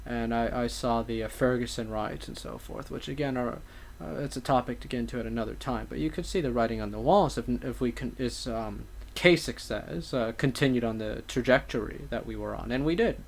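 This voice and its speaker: Guy hosting a political chat, bit of fry in the voice, kinda formal wordy way of talking. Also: mid-range voice, a little fast. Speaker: talk show host. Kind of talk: casual political commentary.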